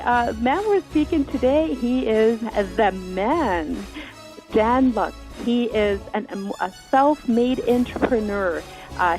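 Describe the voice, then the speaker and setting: Radio host lady, kinda high voice, lots of ums, excited, faint music underneath. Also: poor audio. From immigrant to Canada. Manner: enthusiastic, hesitant with fillers. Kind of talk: radio interview introduction.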